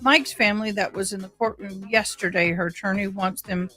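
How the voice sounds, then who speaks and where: A woman hosting a casual livestream, talking in a halting, rambling way. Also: medium pitch, fairly even intonation, bright, forceful tone, soft background music. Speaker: livestream host.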